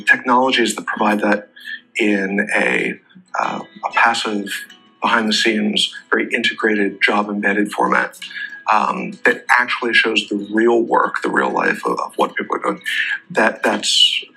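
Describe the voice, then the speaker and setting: A man presenting in an explanatory business talk, phrasing haltingly with frequent hesitant pauses. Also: on the low side, creaky, pushed and bright, faint music behind, poor audio. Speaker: presenter for a data technology company.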